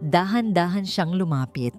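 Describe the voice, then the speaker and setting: A woman reading a scripted audiobook story in a measured, expressive way. Her voice sits low but moves with an animated rise and fall. Faint music plays under the narration.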